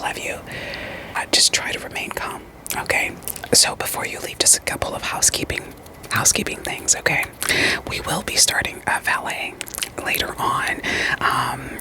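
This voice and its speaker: A woman whispering an ASMR roleplay as a therapist, in a soft, deadpan, gently questioning manner. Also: a bass voice, low-quality recording.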